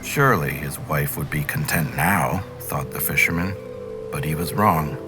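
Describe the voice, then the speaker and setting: A man's voice: very deep voice, animated, normal speed, over music. A man reading a fairy tale aloud as a storyteller, in scripted narration.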